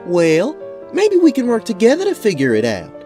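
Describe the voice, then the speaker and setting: An earnest woman adventurer, voiced by a man, with pitch lifted well up and lively movement in it. She is polite and curious, a fantasy drama heroine heard over music. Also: moderate pace, full character voice. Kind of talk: fantasy audio drama acting.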